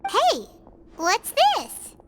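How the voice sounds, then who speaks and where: A woman voicing a tiny, excitable, childlike fairy companion in a video game, chirpy and dramatic. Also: very high-pitched, very slow, very noisy, full character voice. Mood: curious. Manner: chirpy, dramatic, talks in third person. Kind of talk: scripted video game dialogue. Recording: loud game sounds behind.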